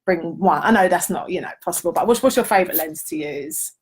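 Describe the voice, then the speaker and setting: A woman's voice: on the low side, lively intonation, quick pace, vocal fry, husky. A woman co-hosting a casual question-and-answer chat as a wedding photographer, chatty and giggly in manner.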